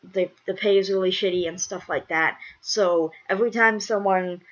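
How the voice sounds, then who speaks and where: A woman's voice: medium pitch, steady tone, moderate pace, highs cut off. A young woman with a congested, stuffed-up voice chatting casually to viewers, rambling and emphatically repeating herself. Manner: repetitive, emphatic, restating phrases. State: congested from a cold. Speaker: vlogger.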